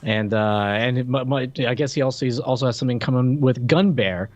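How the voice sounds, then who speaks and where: A man co-hosting a podcast, chatting casually and haltingly in a movie review style. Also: mid-range voice, a crowd in the background. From Florida, United States. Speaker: podcast co-host.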